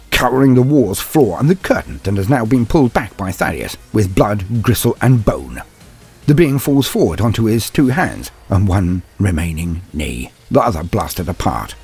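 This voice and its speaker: Low, husky, dramatic male narrator. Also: a little mellow. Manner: theatrical, solemn. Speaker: narrator and channel host.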